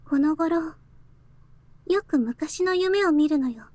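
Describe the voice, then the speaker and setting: A woman's voice: high-pitched, normal speed, a little mellow, dry recording, close to an everyday voice. A woman playing a girlish young female character in a Japanese visual novel. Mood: soft, composed.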